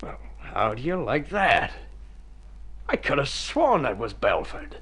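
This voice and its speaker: An actor playing a brash, back-slapping old acquaintance in mid-century radio drama, jovial and slangy. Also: male voice, mid-range voice, sing-song, takes it slowly. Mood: baffled.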